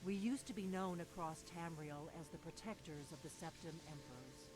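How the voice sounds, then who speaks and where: A woman's voice: deep voice, normal speed, natural voice, low-quality recording. An actress playing a blunt, determined woman warrior of an old secret order in a fantasy video game, speaking earnestly and conspiratorially. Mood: solemn, resolute. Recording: game audio under loud music.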